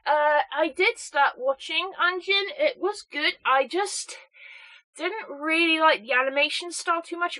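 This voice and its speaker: A woman with a snuffly cold, chatting excitably and rambling through an unboxing review. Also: high voice. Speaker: anime box reviewer.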